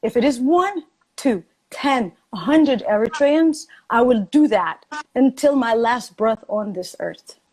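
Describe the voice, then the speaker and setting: A woman's voice: on the high side, animated, unhurried, a little mellow, low-quality recording. Passionate, insistent woman in a heated debate. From born in Addis Ababa, Ethiopia. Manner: passionate, insistent, repeats points for emphasis. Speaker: debate guest, refugee advocate. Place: lives in New York City.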